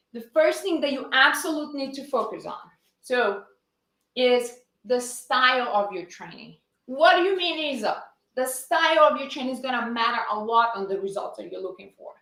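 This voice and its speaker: Energetic fitness coach, woman with a Brazilian accent, high voice, recorded in a roomy space. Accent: Brazilian Portuguese. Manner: energetic, greets viewers and answers her own questions. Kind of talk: live-streamed fitness lesson.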